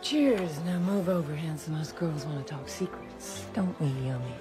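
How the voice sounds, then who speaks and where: A woman's voice: low voice, normal speed, music in the background, lightly acted. An actress in a radio drama playing a tough, sly, flirtatious female gang boss.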